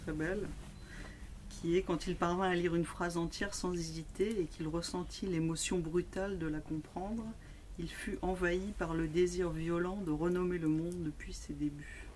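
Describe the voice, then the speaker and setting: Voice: medium pitch, steady tone, moderate pace. Bookshop interviewer, mid voice, lots of euh between questions, slight room hiss. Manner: reads passages aloud, hesitant with fillers. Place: bookshop event.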